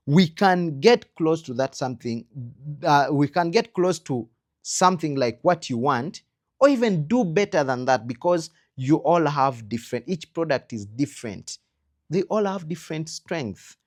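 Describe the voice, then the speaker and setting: Ugandan man giving earnest instruction. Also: on the high side. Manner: earnest advising with rhetorical questions and emphatic pauses. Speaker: trainer in creative production quality control. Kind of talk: instructional talk.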